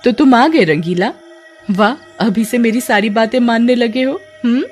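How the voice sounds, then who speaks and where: Woman doing a giggly excitable village girl for a story, high voice, playful. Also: moderate pace, faint music behind, lightly acted. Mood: coy. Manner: gushing, giggly.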